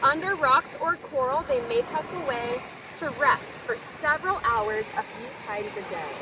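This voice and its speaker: High-pitched woman reading to children, very animated. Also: moderate pace. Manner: expressive, explains words simply to young listeners. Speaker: children's story time host. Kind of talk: read-aloud of a children's picture book with asides. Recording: distant mic, noisy surf-like outdoor ambience.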